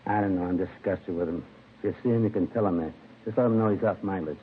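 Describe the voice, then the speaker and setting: A deep-voiced American laborer played by a male actor sits hungover in the tank, rambling and aggrieved in street slang. It sounds like vintage radio drama, narrow and phone-like with faint noise. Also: fast, close to an everyday voice. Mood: disgruntled, groggy. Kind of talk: 1950s radio crime drama acting. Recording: thin, faintly noisy vintage radio recording.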